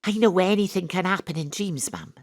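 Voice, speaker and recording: A woman playing a brisk, tongue-in-cheek private detective with a Welsh accent, acting and narrating in a comic audio drama. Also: fairly low pitch, dry recording, studio quality. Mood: baffled.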